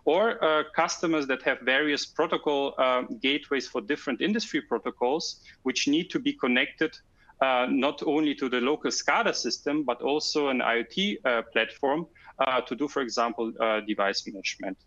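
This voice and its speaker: A man giving a technical slide presentation as a product manager, halting and hesitant with frequent ums. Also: medium pitch, fairly bright tone, highs cut off.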